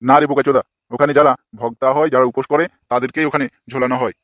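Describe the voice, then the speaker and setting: Man acting a rapid-fire, scolding cartoon father. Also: medium pitch, fairly even intonation, fairly bright tone, no room sound, studio quality, natural voice. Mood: impatient explaining. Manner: scolding, crude, swearing. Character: exasperated foul-mouthed old village father scolding his sons. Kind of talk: comic cartoon dialogue.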